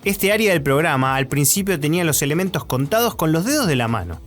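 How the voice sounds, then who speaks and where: A man's voice: on the high side, big pitch swings, fast talker. An Argentine man presenting a radio documentary in scripted narration. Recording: music under the voice.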